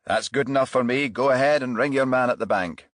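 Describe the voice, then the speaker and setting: A man voicing a cautious, measured chief inspector with a formal, authoritative manner, in a crime audiobook. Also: mid-range voice, a bit bright, dry recording, clean studio sound, voice pushed up, lightly acted. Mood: approving.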